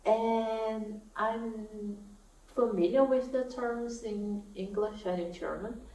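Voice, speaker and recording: Slow, soft-spoken woman. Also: on the high side, fairly even intonation. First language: Portuguese. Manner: soft-spoken, thoughtful, laughs often. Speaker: knitting vlogger. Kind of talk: casual chat to camera. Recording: echoey room, mic at a distance, faint hiss.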